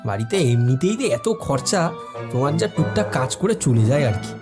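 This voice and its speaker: A man playing a streetwise, money-minded but warm-hearted helper in an audio story, talking in slangy, familiar banter. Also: mid-range voice, sing-song, moderate pace, over music, lightly acted. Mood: cheeky, persuasive. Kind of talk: audio story character acting.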